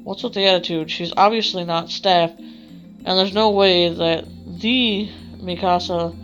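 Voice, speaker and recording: A woman's voice: on the low side, steady tone, measured pace. A woman game streamer reading visual novel narration aloud in a theatrical way. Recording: dull audio with faint music.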